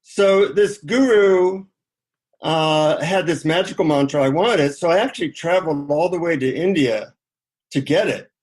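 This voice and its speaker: A man's voice: fairly high pitch, normal speed, darkish tone. A middle-aged man speaking as an interview guest, informally sharing anecdotes and advice in a conversational way.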